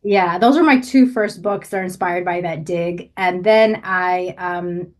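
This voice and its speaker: A woman's voice: on the low side, unhurried, a little hiss or hum. A woman from Russia, a writer and guest on a podcast, answering casually and thinking aloud with fillers. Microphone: remote call.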